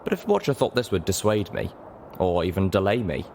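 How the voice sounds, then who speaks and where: A man reading a story aloud in expressive scripted narration. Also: deep voice, brisk, slight background noise. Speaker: audiobook narrator.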